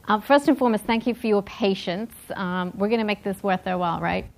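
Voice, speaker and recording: A woman's voice: mid-range voice, fairly quick, gravelly, a crowd in the background, rough recording. A woman moderating a business panel, upbeat and conversational. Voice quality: upbeat and conversational, tag questions. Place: conference hall.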